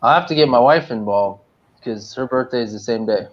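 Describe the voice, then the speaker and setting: Man, late 20s, casual chat, halting fillers, slightly dull sound. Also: mid-range voice. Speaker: livestream co-host.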